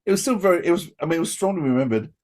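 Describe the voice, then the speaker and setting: A man's voice: a little high, expressive, very fast, darkish tone. A middle-aged man from Australia, hosting a casual interview and talking with eager, rambling restarts. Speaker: podcast host.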